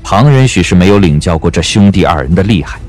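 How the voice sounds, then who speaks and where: A man's voice: sits low, lively intonation, quick pace, darkish tone, soft background music. A man narrating a period audio drama as a storyteller, reading scripted prose dramatically.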